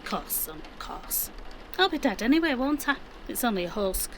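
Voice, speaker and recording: A woman's voice: fairly high pitch, big pitch swings, moderate pace, slight background noise. A woman playing a plucky, wry, middle-aged British mum in an audio drama, with a colloquial, dry-humoured delivery. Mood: subdued. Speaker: actor.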